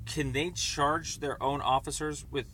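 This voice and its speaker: A man calling to make a complaint, explaining at length in a rambling, halting, stammering way over the phone. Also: a little high, fairly even intonation, pushed and bright, slight background noise. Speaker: caller making a complaint. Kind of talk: phone conversation. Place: on a phone call.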